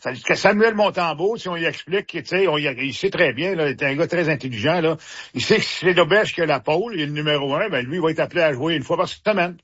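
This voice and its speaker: Quebec guy, ex hockey player, kinda husky voice, muffled radio sound, rambles a lot. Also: a little high, quick pace, a bit bright. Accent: Quebec French. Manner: rambling, many restarts and fillers. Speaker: former hockey player, sports commentator. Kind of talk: casual radio sports chat.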